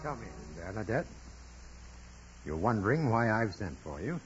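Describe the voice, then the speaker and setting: An actor playing an earnest, forceful parish priest, fatherly and formal, with emphatic delivery in 1940s radio drama style. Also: lowish voice, sing-song, a little slow, music in the background. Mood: fatherly, solemn. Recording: muffled, noisy vintage radio broadcast.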